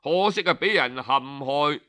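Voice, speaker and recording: A man's voice: on the high side, animated, unhurried, pushed and bright, clean tone, voice pushed down. A man voicing an earnest, persuasive servant of ancient China in a storybook tale, plaintive and emphatic. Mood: sighing regret.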